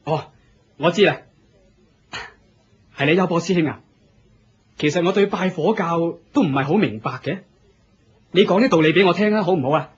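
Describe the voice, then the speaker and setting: Naive young novice, husky and hesitant. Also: male voice, on the high side, moderate pace, long pauses. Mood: curious. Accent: Hong Kong Cantonese. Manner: hesitant, questioning, deferential. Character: eager, naive young male novice of a fire-worship sect. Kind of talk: Cantonese radio drama acting. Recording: muffled lo-fi radio drama.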